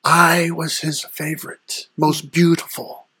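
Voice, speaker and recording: A performer voicing a haughty, very husky Devil. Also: mid-range voice, flat delivery, pushed and bright, dry recording, heavily acted. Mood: haughty, proud. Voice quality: husky, breathy rasp. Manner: terse, formal, slow and deliberate. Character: haughty, vain Devil, lord of darkness. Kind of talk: in-character mock interview.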